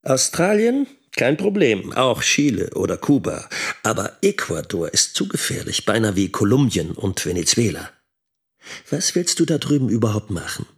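A man's voice: on the low side, big pitch swings, normal speed, gravelly, husky, exaggerated voice. An actor playing an abandoned middle-aged schoolteacher and anxious father in a radio drama. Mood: worried, probing. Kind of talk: radio drama monologue and dialogue.

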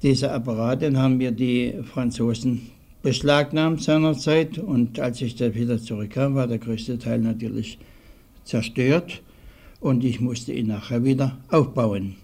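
Older man recalling things, halting and deliberate. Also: medium pitch, vocal fry, warm tone. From southwestern Germany. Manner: halting, deliberate, old-fashioned formal phrasing. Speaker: interviewee, early radio hobbyist. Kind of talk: recollections in an interview. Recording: 1950s archival radio recording.

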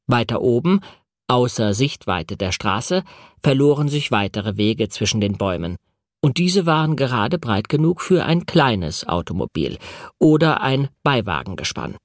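Man reading literary audiobook prose, measured delivery. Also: medium pitch, sing-song, dry recording, clean studio sound. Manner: measured, deliberately phrased delivery with pauses. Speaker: audiobook narrator. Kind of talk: literary audiobook narration, read from a novel.

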